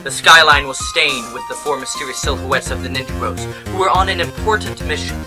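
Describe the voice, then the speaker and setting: A man's voice: mid-range voice, brisk. A man narrating an amateur cartoon in a dramatic storytelling manner. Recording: music bed under the voice.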